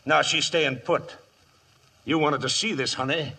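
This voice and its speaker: Raspy, high-pitched, mocking western outlaw boss. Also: male voice, fairly even intonation, a little slow, pushed and bright, full character voice. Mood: sly, insistent. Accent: American. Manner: taunting drawl with cackling glee. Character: sadistic, mocking western outlaw gang leader. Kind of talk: 1950s western radio drama acting.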